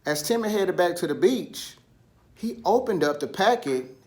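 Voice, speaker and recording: A man telling a children's story in an animated style, with dramatic pauses and drawn-out, emphatic words. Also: high voice. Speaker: storybook reader.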